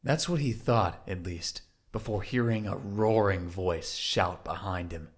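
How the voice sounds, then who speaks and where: A man's voice: low-pitched, a little slow. A man narrating an audio drama from a script.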